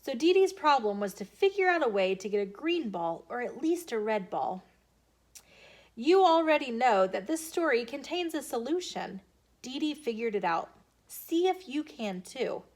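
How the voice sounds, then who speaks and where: High-voiced woman reading a children's story. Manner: expressive, deliberate storytelling delivery addressed to children. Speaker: storytime host reading children's books aloud. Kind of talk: children's storytime read-aloud narration. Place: filmed in a bookstore.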